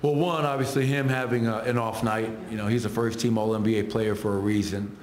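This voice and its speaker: Low, flat-voiced coach in echoey room. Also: male voice, a little hiss or hum. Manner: measured, reels off stats in clipped phrases. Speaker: head coach. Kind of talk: post-game press conference answers. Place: arena press room. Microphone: table microphones.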